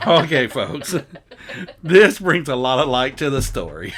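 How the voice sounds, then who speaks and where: A man's voice: medium pitch, big pitch swings, unhurried, a bit bright. A man playing a jokey, incredulous reporter in an improvised mock news interview, skeptical and breaking into amusement. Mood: teasing. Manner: teasing, skeptical, breaks into laughter. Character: female TV news reporter Mary McBigbottom, folksy, incredulous, jokey.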